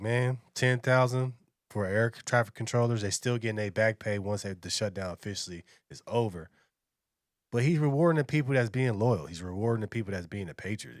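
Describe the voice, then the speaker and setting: A man's voice: on the low side, no room sound, studio quality. An American man giving casual, opinionated commentary as a reaction video host, in slangy, conversational speech. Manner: colloquial, slangy, conversational delivery.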